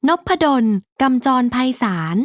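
Woman reading rhyming storybook, very slow pace, dry close sound, sing-song. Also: dry recording. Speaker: storybook narrator. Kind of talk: rhyming children's story narration.

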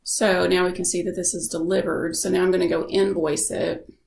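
A woman giving a casual software tutorial as a screen-share voice-over, explaining informally and thinking aloud. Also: on the low side, level tone, fairly quick, vocal fry, echoey room, slight background noise. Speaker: software instructor.

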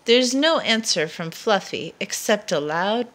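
A woman reads a picture book aloud for young children. Her pitch moves around a lot and she takes her time, placing dramatic emphasis on key words in an expressive storyteller's style. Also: measured pace.

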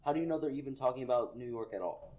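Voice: medium pitch, expressive, very fast, highs cut off, natural voice. A student actor playing a bored, dismissive police desk sergeant who talks in an offhand, condescending drawl, in an echoey room. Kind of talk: school radio play acting. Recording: echoey classroom recording.

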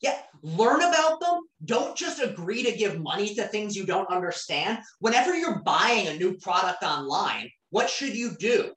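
A woman's voice: mid-range voice, very animated, moderate pace, hardly any pauses, a bit bright. A woman teaching an online class in a casual, conversational way, often asking the students questions. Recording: roomy, distant from the mic.

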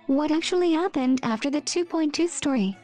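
High-voiced woman reading memes. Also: animated, fairly quick. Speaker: meme video narrator. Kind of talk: expressive scripted voice-over reading memes and comics. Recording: music behind the voice.

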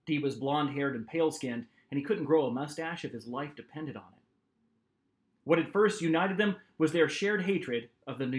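A man in his forties reading a novel aloud as its author, with expressive, dramatic narration. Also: on the high side. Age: 40s. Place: small home office, indoors.

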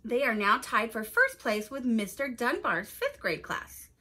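A woman's voice: fairly high pitch, big pitch swings, measured pace, bright, forceful tone, full character voice. A woman voicing an authoritative school principal making formal announcements in a children's storybook. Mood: announcing.

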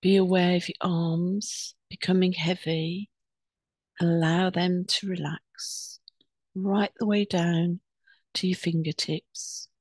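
A woman leading a guided meditation in a soothing, unhurried, instructing way with pauses between phrases. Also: fairly low pitch, steady tone, darkish tone, no echo.

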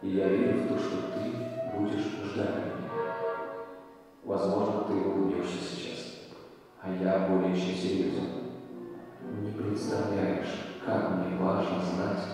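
A man's voice: deep voice, monotone, a little slow, recorded from a distance. An actor playing a young soldier tenderly and wistfully reading a wartime love letter to his fiancee. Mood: wistful longing. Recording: echoey hall playback from afar over music.

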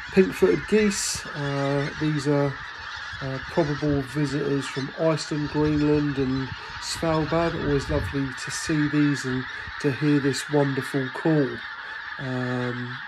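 A man's voice: mid-range voice, background noise. Middle-aged English man, hesitant and enthusiastic. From England. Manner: hesitant, many ums and uhs, enthusiastic. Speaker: birding vlogger. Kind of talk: casual voice-over narration.

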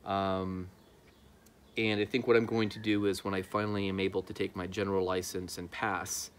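Low-voiced man, noisy outdoor recording. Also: level tone, moderate pace. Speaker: amateur radio vlogger. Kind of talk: casual talk to camera. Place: outdoor backyard patio.

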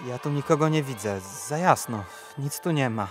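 Man acting as young boy, medium male pitch, earnest, childlike questions, radio play. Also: animated, moderate pace, faint music behind, lightly acted. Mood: bewildered. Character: earnest, questioning little boy with his grandfather. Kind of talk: audio drama acting.

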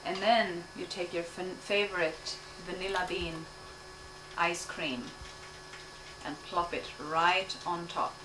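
A woman in her thirties from Sweden hosting a home cooking show, calmly explaining each step as she cooks in her kitchen. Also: lowish voice, slow pace. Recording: echoey kitchen, distant mic, noisy.

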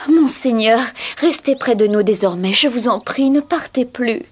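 High-voiced pleading young princess, acted by a woman. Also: moderate pace, soft background music, close to an everyday voice. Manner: pleading, deferential. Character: devoted young princess, pleading gentle wife. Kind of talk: French animated film dubbing, formal dialogue.

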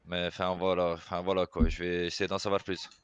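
A man's voice: low voice, little pitch movement, a little fast, smooth, highs cut off. A man improvising as a police commander in game roleplay, stern but hesitant in his phrasing. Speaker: player acting a police commander.

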